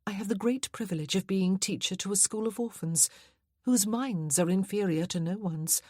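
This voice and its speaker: A woman voicing a humble, earnest young woman teacher who speaks softly and politely. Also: mid-range voice, normal speed, no echo, studio quality, voice pushed up, natural voice.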